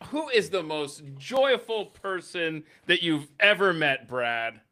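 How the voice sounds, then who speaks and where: A man's voice: a little high, animated, takes it slowly, sharp tone. A middle-aged man hosting a casual talk show, chatting and putting questions to the others. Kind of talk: casual chat.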